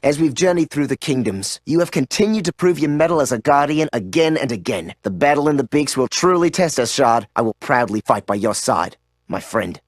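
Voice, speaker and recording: A man's voice: medium pitch, animated, fairly quick, dry recording, natural voice. An actor playing a loyal young male owl companion, speaking earnestly and supportively in a game. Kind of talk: game cutscene dialogue.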